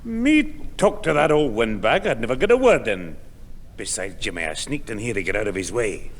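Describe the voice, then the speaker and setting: A man's voice: medium pitch, sing-song, normal speed. An actor playing a grumpy, incredulous old grandfather who grumbles and exclaims in a 1960s radio sitcom. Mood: grumbling. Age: older. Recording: echoey old radio recording.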